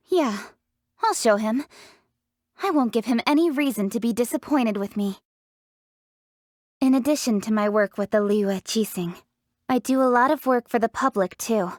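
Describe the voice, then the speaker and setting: A woman's voice: a little high, moderate pace, dry recording, clean studio sound, natural voice. An actress playing a confident, proud young woman leader in a fantasy video game, speaking in an articulate, earnest, self-assured way. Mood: proud, defiant, then matter-of-fact. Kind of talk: scripted video game character voice lines.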